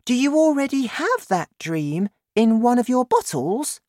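A woman voicing an excitable, determined little girl in a children's story, speaking in an eager, dramatic way. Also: fairly high pitch, measured pace, no room sound, studio quality, voice pushed up. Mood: eager, curious.

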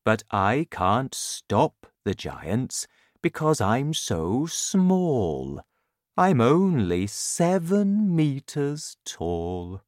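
A woman voicing a gentle, gloomy male giant in a children's story, talking in a slow, sad, matter-of-fact way. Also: very deep voice, big pitch swings, no echo, studio quality, full character voice. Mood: sad, resigned.

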